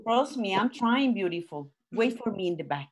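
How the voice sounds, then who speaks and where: An actress plays a warm older healer in a comedy series table read. Her voice is mid-pitched, heard over a video call. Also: lively intonation, moderate pace, crowd noise, natural voice. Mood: coy, assured. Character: warm Mexican-American veterana healer in her sixties. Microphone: video call from home.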